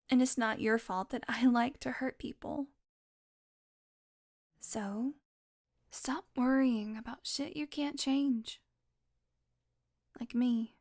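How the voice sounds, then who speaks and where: A woman's voice: a little high, darkish tone. A woman playing a sardonic, predatory, centuries-old female vampire in a scripted audio roleplay, with dry, teasing sarcasm and grudging fondness for the listener. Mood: wry, earnest.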